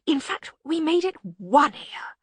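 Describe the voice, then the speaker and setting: A woman's voice: sits high, sing-song, dry recording, voice pushed up. A woman voicing a sensible, diplomatic male junior wizard, hesitant and deferential, in an audiobook. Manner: halting, deferential, resigned. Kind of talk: acted audiobook dialogue.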